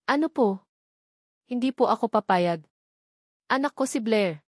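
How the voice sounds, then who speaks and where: A woman's voice: a little high, animated, measured pace, no echo, studio quality. A woman voicing a frightened, meek young mother in a narrated story, trembling and pleading respectfully. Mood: pleading, scared.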